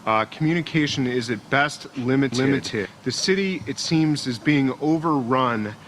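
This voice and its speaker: An actor playing a reporter stranded in an overrun city, giving a dramatic field report. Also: male voice, mid-range voice, moderate pace, fairly bright tone, close to an everyday voice. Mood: grim, alarmed. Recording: very noisy outdoor field recording.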